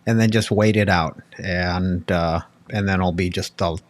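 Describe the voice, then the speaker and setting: Lowish-voiced American man, dry sound. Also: fairly even intonation, a little slow, dry recording. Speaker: panelist on a talk show. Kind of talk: casual chat.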